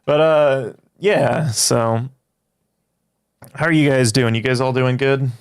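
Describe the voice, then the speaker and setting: A young man, a native Greek speaker, chatting with his livestream audience in a rambling, halting way with many restarts. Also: mid-range voice, fairly even intonation, clear, resonant tone. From Greece. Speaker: livestream host. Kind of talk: casual livestream chat.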